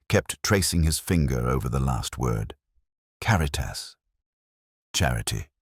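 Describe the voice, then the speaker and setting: A man playing a melancholy police detective, narrating a mystery in a measured, literary, reflective way. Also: rumbling low, no echo, clean studio sound, full character voice. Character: brooding, melancholy male police detective narrating his case. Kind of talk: scripted first-person mystery narration.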